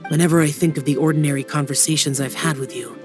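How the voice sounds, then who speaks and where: A man's voice: a little high, steady tone, fast talker, natural voice. A man playing a reserved, brooding young immortal guardian in a dubbed fantasy game, speaking solemnly and formally, with some hesitation. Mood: reflective, melancholy. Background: soft music.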